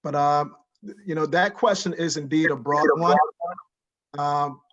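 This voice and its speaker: A middle-aged man from Liberia with a voice strained from yelling, speaking earnestly and deliberately as a panelist. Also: fairly high pitch, fairly even intonation. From Liberia, West Africa. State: strained voice after weeks of yelling. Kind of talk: reflective panel talk. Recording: dull lo-fi call audio.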